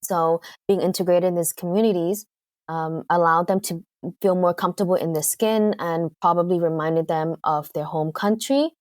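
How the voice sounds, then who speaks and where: Lowish-voiced woman with a Canadian accent. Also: steady tone, moderate pace, darkish tone, no room sound, studio quality. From United Kingdom. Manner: thoughtful, hesitant, frequent fillers. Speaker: guest. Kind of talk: casual interview conversation.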